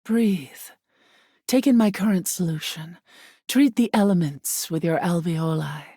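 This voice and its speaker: A woman voicing an ancient, aloof female spirit of air in a video game, with a husky, breathy voice that often sinks to a whisper and a solemn, formal delivery. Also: on the low side, a little slow, dark tone, no echo, studio quality. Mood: hushed, sensual. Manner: languid, imperious, solemn, formal diction. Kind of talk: video game character acting.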